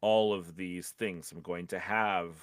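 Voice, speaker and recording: Halting man podcast co-host, low and dry. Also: steady tone, dry recording. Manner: halting, rambling, restarts sentences often. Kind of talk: casual conversational advice on a podcast.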